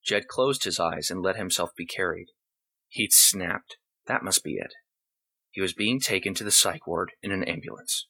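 Man, story narrator, lowish pitch, forceful bright tone, slightly rough edge. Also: moderate pace, poor audio. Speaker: short story reader. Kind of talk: scripted narration of fiction.

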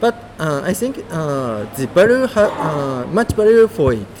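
A man with a Japanese accent and a fairly high voice speaks halting, simple English, pausing often to search for words. He is a guest being interviewed at an event venue, with clear background noise behind him. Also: big pitch swings. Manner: halting, simple English with many pauses and fillers. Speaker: guest, tournament judge. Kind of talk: casual interview answers.

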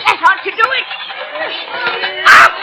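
A man's voice: very high voice, sing-song, slow, pushed and bright, full character voice, low-quality recording. A man playing a tiny captain barking orders in an old radio drama. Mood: commanding. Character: tiny male Lilliputian captain, bossy.

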